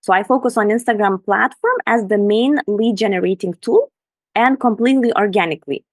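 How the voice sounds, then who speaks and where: A woman's voice: medium pitch, brisk, no room sound. Woman from lithuania, earnest presenter, dry sound, video call. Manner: enthusiastic, earnest. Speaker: Instagram business coach, guest. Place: room in Bali at night.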